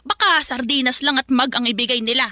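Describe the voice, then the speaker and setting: A woman's voice: sits high, brisk, sharp tone, dry recording, clean studio sound. An actress playing an anxious, proud Filipino mother in a radio drama, fretful and insistent. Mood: exasperated. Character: anxious, proud mother fretting over her daughter's debut.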